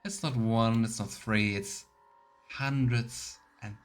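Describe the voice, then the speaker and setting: A man's voice: lowish voice, steady tone, slow, faint music behind. A man hosting a live let's play, chatting casually and thinking aloud as he comments. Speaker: streamer, let's play host.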